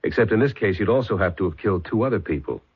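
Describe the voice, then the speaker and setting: An actor playing a blunt, persistent police sergeant in an old radio mystery, questioning in a clipped, businesslike way. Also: male voice, low-pitched, animated, fast, breathy edge, exaggerated voice. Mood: formal, probing. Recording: dull, muffled vintage radio broadcast.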